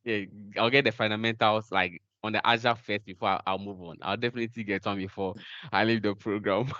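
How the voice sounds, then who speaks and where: A man's voice: fairly low pitch, steady tone, sharp tone, dry recording, highs cut off. A young man giving casual, hesitant replies as a student in an online workshop. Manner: hesitant, halting. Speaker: student ambassador taking part in workshop.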